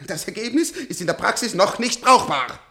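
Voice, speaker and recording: A man's voice: high-pitched, very animated, normal speed, sharp tone, exaggerated voice. An actor playing a very old, sardonic man in a science-fiction radio play, weary and defiant in manner. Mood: agitated accusation. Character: bitter, sardonic very old man kept alive as an experiment.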